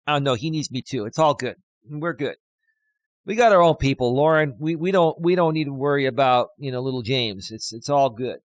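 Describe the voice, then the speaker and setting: A man of fifty, a life coach, ranting loudly and emphatically on a live stream while reading out chat, close on a studio dynamic mic. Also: mid-range voice, brisk, no room sound. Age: 50s.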